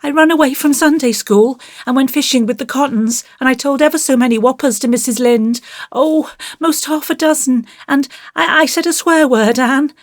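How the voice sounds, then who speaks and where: Lady doing a little naughty kid boy for an audiobook, high pitched, pleading n sorry, blurts stuff out. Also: moderate pace, no echo, studio quality, lightly acted. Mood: anxious, blurting. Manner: pleading, childlike, blurting, colloquial. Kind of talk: audiobook character voice.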